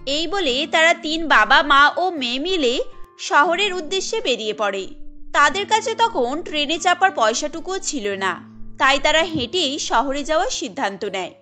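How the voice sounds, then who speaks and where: A woman's voice: high voice, bright, forceful tone. A woman narrating a scripted cartoon story as its storyteller. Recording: music bed under the voice.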